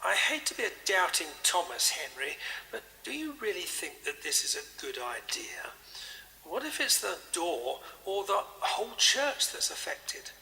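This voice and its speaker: A man playing a kindly, nervous vicar in an audio drama. His voice is high and very bright with a creaky edge, and he hesitates politely as he voices gentle doubts. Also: sing-song, measured pace, heavily acted, poor audio. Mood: nervous amusement.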